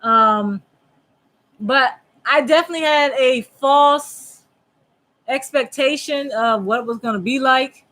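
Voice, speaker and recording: A woman co-hosting a candid chat with her husband, speaking openly and reflectively, often pausing to search for words. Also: high-pitched, pushed and bright. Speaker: podcast co-host.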